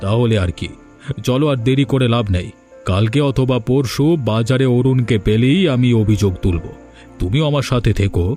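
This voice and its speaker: A man acts out a spiteful older village schemer for a Bengali cartoon. He talks in a deliberate, conspiratorial manner over background music. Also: mid-range voice, dark tone, lightly acted. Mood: scheming.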